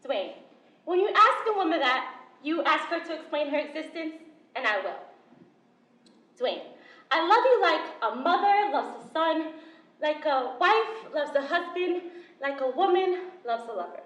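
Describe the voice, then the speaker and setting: Woman playing a flighty, very high-voiced theatrical mother. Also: animated, unhurried, fairly bright tone. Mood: grandly sentimental. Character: flighty, theatrical mother juggling husband, son and suitor. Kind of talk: stage farce acting. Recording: echoey room, distant microphone.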